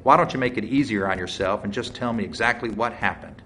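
Man acting as smug small-town deputy, folksy, forceful interrogator, audiobook character, faint background noise. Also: on the low side, quick pace. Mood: accusing, smug.

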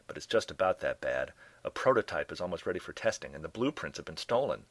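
A man voicing a gruff, suspicious and impatient government agent in a terse manner for a comic spy story. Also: deep voice, very animated, fast talker, no echo, full character voice. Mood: weary, irritated.

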